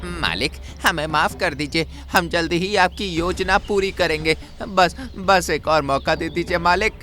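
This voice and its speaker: A sneaky little mouse henchman from a cartoon, voiced by a man. The voice is high and piercing, whiny and blustering, with faint music underneath. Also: measured pace, pushed and bright. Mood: grovelling. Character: sneaky cowardly male mouse thief, cheeky henchman.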